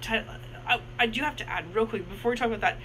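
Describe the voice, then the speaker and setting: A man's voice: high voice, fast, sharp tone, a little hiss or hum, rough recording. A man in his twenties co-hosting a casual movie review, talking in a rambling way with many restarts and fillers. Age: 20s.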